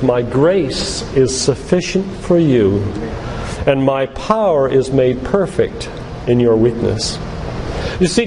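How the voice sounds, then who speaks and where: An older man giving an earnest, deliberate sermon-like talk with stories and read-out quotations. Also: medium pitch. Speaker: physician and lay preacher giving a church talk. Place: church hall, live talk to congregation. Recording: reverberant church hall, background noise.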